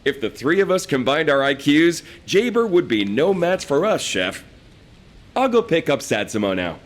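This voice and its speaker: A man's voice: fairly high pitch, sing-song, moderate pace. An actor playing a scheming, wisecracking young male fighter in a video game cutscene. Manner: joking, scheming.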